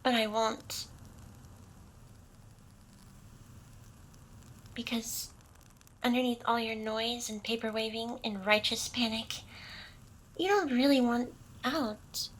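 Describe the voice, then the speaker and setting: A woman's voice: fairly high pitch, fairly even intonation, a little slow, fairly bright tone, slight background noise, low-quality recording. A woman playing an ancient, smug, seductive demon boss in an ASMR roleplay, with an intimate, teasing delivery.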